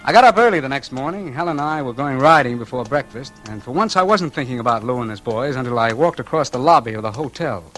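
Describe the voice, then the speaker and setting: A man's voice: medium pitch, expressive, quick pace, few pauses, fairly bright tone, lightly acted, rough recording. Man acting a weary hard-boiled noir antihero. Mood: brooding narration. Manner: wry, terse, hard-boiled. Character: weary, doomed man on the run, narrating his own story. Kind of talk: 1940s radio noir acting, first-person narration and dialogue. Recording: vintage radio recording with music underneath.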